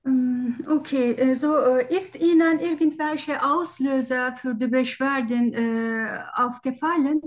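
A high-pitched woman speaks German with a foreign accent. She talks slowly and formally as a doctor questioning a patient, hesitant and searching for each word. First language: not German. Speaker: doctor taking a patient history in exam practice. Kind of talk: halting structured medical interview in role-play.

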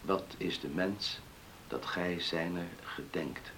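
An actor playing an earnest, blunt grown farm son in a Dutch radio play. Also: male voice, deep voice, slow pace, fairly bright tone, exaggerated voice. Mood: solemn reading. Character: plain-spoken, dutiful grown son on a farm. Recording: noisy old radio play recording.

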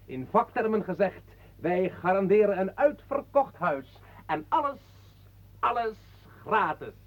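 An actor playing a sly, bombastic stage designer who declaims theatrically in a radio play. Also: male voice, sits high, lively intonation, unhurried. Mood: exalted, bombastic. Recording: dull muffled old radio recording.

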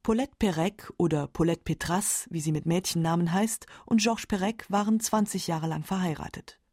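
A woman's voice: fairly low pitch, moderate pace, darkish tone, dry recording, studio quality. A woman with pronunciation reading scripted narration for a literary radio documentary.

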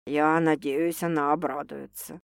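A woman voicing an anxious, serious man in a romance audiobook, her voice lowered and heavy, speaking slowly and haltingly. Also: no echo, studio quality, close to an everyday voice. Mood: soft, tender. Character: gruff, anxious, shocked man in love, expectant father.

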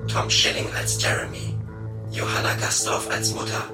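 Radio announcer casting: man, very monotone scripted credits read, slightly low, echo and music. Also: normal speed. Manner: matter-of-fact. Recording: echoey, with music behind.

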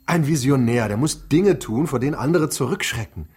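An actor playing a middle-aged industrialist father in a radio drama, a ruthless visionary who shifts between halting and declamatory talk. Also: mid-range voice, animated, darkish tone, breathy edge.

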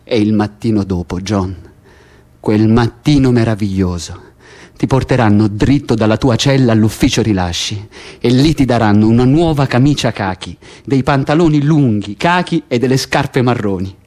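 A man's voice: medium pitch, normal speed, a little mellow, close to an everyday voice. A man acting in Italian radio theatre as a prisoner who insistently and bitterly taunts his cellmate, piling up detail step by step. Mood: insistent, building. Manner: insistent, building lists step by step. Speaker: stage actor in a radio play.

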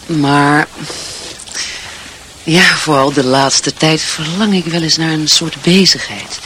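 A man's voice: on the high side, takes it slowly, bright, forceful tone, a little hiss or hum. An actor in a radio drama playing an earnest, dutiful wife and mother, hesitant, searching and sincere. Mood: wistful, confiding.

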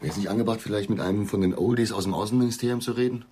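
Police investigator played by a man, radio drama: very low, matter-of-fact, probing, slight background noise. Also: racing, mellow, close to an everyday voice. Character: methodical, sober male police investigator. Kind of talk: German radio drama acting.

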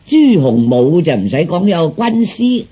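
A man voicing a glib, flattering fortune teller in Cantonese, with theatrical sales patter. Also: on the high side, unhurried, slightly rough, lo-fi sound, full character voice. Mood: scheming, persuasive. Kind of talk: Cantonese storytelling performance.

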